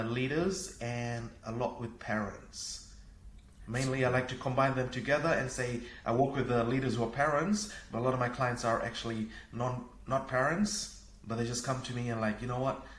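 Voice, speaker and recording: A man from Fiji, a parenting coach and podcast guest, talking in an energetic, upbeat way. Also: mid-range voice, normal speed. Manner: energetic, upbeat, laughs easily. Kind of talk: casual conversation. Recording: echoey room.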